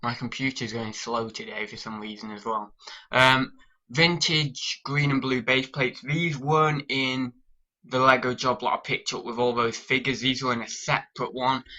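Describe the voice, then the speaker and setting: A man's voice: mid-range voice, level tone, moderate pace, bright, forceful tone, dull audio. A young British man with a cold, chatting casually through a sales update and rambling with lots of ums. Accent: British English. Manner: rambling with many ums and restarts. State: sniffly with a cold. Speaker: online reseller vlogger.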